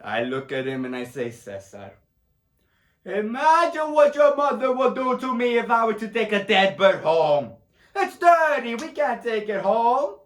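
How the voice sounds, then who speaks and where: A man's voice: on the high side, big pitch swings, unhurried, pauses often, fairly bright tone. A man playing a middle-aged Puerto Rican immigrant father in a solo dramatic monologue, proud, tender and grieving, speaking broken English with a Puerto Rican Spanish accent and rambling with "huh?" tags. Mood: fond, wistful. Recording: echoey room.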